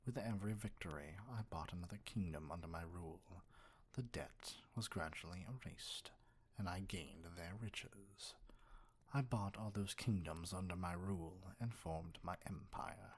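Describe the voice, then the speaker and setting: A man playing an arrogant, dominant evil sorcerer king in an ASMR roleplay, speaking in a measured, condescending, breathy near-whisper. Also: sits low. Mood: brooding, reflective.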